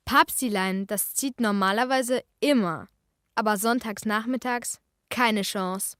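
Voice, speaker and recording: An actress playing a lively, cheeky girl detective in a children's radio play, chatty and expressive. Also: a little high, a little slow, no echo, studio quality. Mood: pleading.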